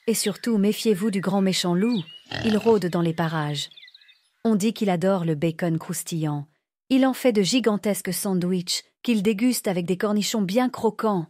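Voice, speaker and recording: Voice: mid-range voice, moderate pace, darkish tone, no echo, lightly acted. A performer voicing a tender mother pig in a children's cartoon tale, speaking with affectionate, cautioning care. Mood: tender warning.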